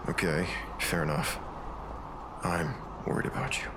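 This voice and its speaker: A man's voice: deep voice, lively intonation, measured pace, noisy background. An actor playing a mild-mannered, worried man in his thirties, speaking in a hesitant, conversational way for a comic dub. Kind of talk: comic dub acting, naturalistic. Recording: music behind the voice.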